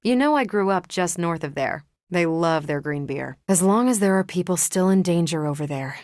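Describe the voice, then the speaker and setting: A woman's voice: on the low side, animated, normal speed, a little mellow, dry recording, clean studio sound, lightly acted. An actress playing a confident, friendly superhero in a video game, casual and wry in her delivery. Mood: determined. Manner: casual, wry, self-assured. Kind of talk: video game character voice lines.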